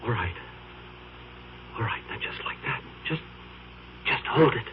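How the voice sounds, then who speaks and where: An actor playing an obsessed, frightened photographer in a 1970s radio drama, talking in a halting, anxious way. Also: male voice, mid-range voice, expressive, a little hiss or hum, lightly acted. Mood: nervous. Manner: anxious, halting, breaks into panic. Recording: dull 1970s radio broadcast.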